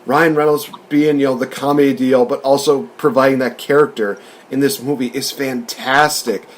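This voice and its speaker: A man's voice: mid-range voice, fairly even intonation, moderate pace. A man hosting a casual review discussion, recapping and commenting in an informal, conversational way. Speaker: podcast host reviewing films.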